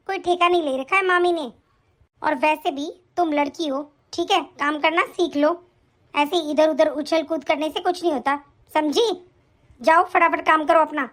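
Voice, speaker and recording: A bossy middle-aged aunt who nags and scolds without pause, her high voice running quick and sharp, as a woman plays her. She keeps up a steady stream of complaint. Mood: scolding. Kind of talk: comic family skit acting.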